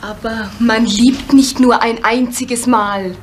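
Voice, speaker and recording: Worried pleading lady, 70s radio drama, high voice, faint hiss. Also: steady tone, takes it slowly. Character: pleading, concerned woman comforting a young man. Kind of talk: 1970s German radio drama acting. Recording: faintly noisy old radio recording.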